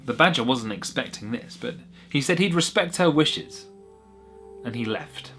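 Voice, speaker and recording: A man's voice: medium pitch, animated, unhurried, a bit bright, soft background music. A man narrating a whimsical short story in a wry, conversational way with little asides.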